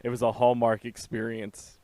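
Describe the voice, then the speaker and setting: A man's voice: mid-range voice, little pitch movement, normal speed, a little mellow, dry recording. A man hosting a casual radio interview with loose, crude joking banter. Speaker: host.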